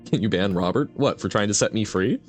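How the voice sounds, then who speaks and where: A man's voice: lowish voice, animated, moderate pace, faint music behind. A man streaming a video game, talking casually to his chat in a rambling, reflective way and laughing often. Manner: reads and answers chat. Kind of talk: casual gameplay commentary.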